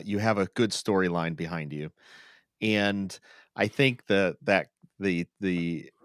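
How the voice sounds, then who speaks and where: A man with a fairly low voice hosts a casual talk podcast. He is affirming and reflective, often checking in as he makes a point. The recording is dry and free of room echo. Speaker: podcast host. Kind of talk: casual, thoughtful conversational commentary. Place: online video call for a livestream podcast.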